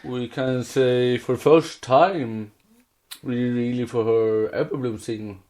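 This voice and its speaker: A man with a Swedish accent, a native Swedish speaker, co-hosting a casual fan podcast in halting, loosely worded English. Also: medium pitch, fairly even intonation, darkish tone. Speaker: podcast co-host.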